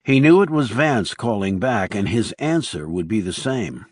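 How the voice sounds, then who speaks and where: A man's voice: on the low side, expressive, moderate pace, husky, no room sound, clean studio sound. A man reading scripted thriller narration as an audiobook narrator.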